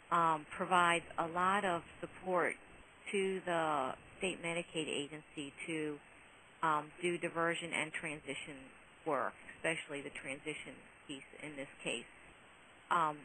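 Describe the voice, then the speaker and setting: Woman official on a conference call, bright lowish voice, talks thru details kinda off the cuff, bit of hiss. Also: rough recording. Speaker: government program official answering questions. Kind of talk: informal explanatory Q&A on a conference call. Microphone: conference call phone line. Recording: hissy conference call audio.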